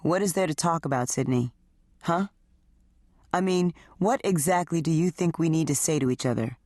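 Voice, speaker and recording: A woman's voice: deep voice, moderate pace, darkish tone, no echo, clean studio sound. A woman voicing a resentful married man who talks in a hostile, sarcastic tone. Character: resentful, bitter married man in his forties.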